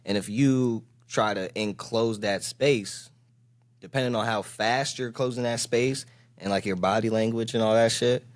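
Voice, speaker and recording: A man's voice: lowish voice, measured pace, no echo. A young man from the DMV area co-hosting a podcast, talking in laid-back slang and laughing often. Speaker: podcast co-host. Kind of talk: casual podcast chat.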